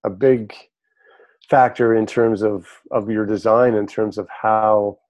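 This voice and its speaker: Flat, dark-voiced man. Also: fairly low pitch, dry recording, highs cut off. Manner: thoughtful, measured, hedging with ums. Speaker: participant. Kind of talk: casual group discussion.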